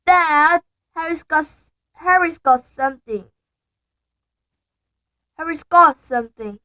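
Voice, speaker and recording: A woman voicing a spoiled, demanding boy who whines loudly. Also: high-pitched, slow, pitched above the performer's own voice, exaggerated voice. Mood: whining. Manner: whining, loud.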